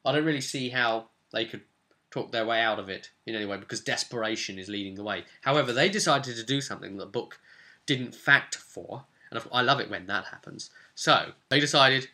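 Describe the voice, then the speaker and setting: A man casually recounting a role-playing session as game master, telling it in an animated storyteller's way. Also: mid-range voice, a little fast.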